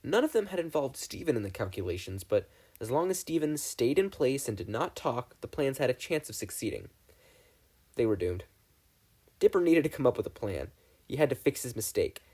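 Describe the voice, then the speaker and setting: A man's voice: medium pitch, big pitch swings, moderate pace, darkish tone, slightly rough. A man reading a scripted story aloud as a narrator. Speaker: podfic reader.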